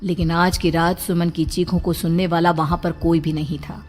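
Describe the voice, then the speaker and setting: A woman's voice: on the low side, flat delivery, normal speed, darkish tone. A woman narrating a scripted horror cartoon story in a dramatic storytelling manner. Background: music.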